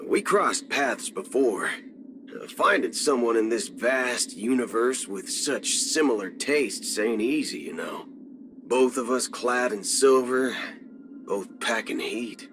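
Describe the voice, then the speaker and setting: A man's voice: medium pitch, lively intonation, takes it slowly, a bit bright, a little hiss or hum. A man playing a cocky cyborg cowboy outlaw in a video game, with a swaggering, folksy drawl. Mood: musing, wry. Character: cocky cyborg cowboy gunslinger outlaw, a male galaxy ranger. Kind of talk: video game character voice acting. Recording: music behind the voice. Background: music.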